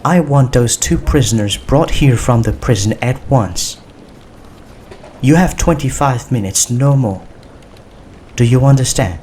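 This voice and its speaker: A man voicing a firm, commanding female Prime Minister in an audiobook, speaking with measured authority. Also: mid-range voice, lively intonation, a little mellow, slight background noise, pitched above the performer's own voice, lightly acted. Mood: decisive. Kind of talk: audiobook character dialogue.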